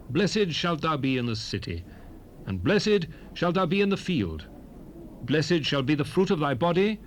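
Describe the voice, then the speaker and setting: A man playing a solemn prophet, reciting scripture in a measured, declamatory way for a dramatized Bible recording. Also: medium pitch, lightly acted. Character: solemn male prophet proclaiming blessings and curses. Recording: hissy 1970s cassette recording.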